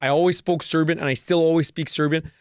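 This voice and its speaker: A ranting man with a smooth voice. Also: on the high side, steady tone, fast, no echo, studio quality. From Canada. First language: Serbo-Croatian. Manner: profane, repetitive, emphatic ranting. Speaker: podcast host. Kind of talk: solo podcast rant.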